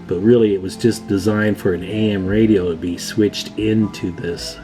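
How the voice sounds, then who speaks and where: A man's voice: lowish voice, steady tone, normal speed. A man giving a casual, hands-on demonstration as a hobbyist video host, speaking informally and folksily to his viewers. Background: plucked guitar music.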